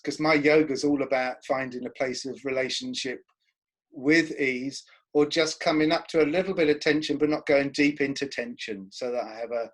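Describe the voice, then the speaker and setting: A man's voice: mid-range voice, fairly even intonation, moderate pace. A man with a mid-range voice talks as an interviewer in a casual chat. His remarks are reflective and informal, loosely phrased as he relates ideas to himself.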